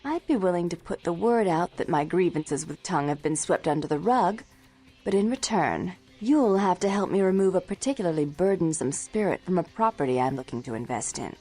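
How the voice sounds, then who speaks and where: A haughty vampire nightclub proprietress in a video game. The voice is low, with prim, formal diction and a condescending, controlling manner, over music. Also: female voice, lively intonation, a little fast, a little mellow. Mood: businesslike, imperious. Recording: music behind.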